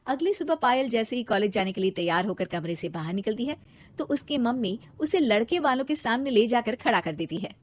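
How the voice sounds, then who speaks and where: A woman narrating a cartoon story and voicing a cheeky young heroine, in a lively way. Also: fairly high pitch, brisk. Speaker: narrator and lead voice.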